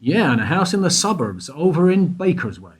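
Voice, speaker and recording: A man's voice: fairly high pitch, sing-song, fairly quick, breathy edge. A man acting in a radio play as a wry investigator, questioning in a probing, insistent way. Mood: wry, subdued.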